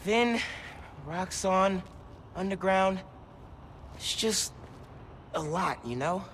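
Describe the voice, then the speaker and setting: Casting: earnest teen boy superhero, quippy game hero, highish voice over clear background noise. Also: extremely slow, a bit bright, heavily acted. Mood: subdued. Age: young adult. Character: earnest seventeen-year-old male superhero, quippy and stressed. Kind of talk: video game cutscene acting.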